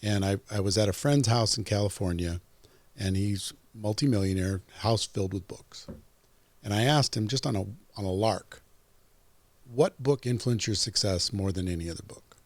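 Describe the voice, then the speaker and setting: A man in his fifties, a podcast guest, talking in an emphatic, animated way. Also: fairly low pitch, normal speed, no echo. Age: 50s. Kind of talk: casual interview chat.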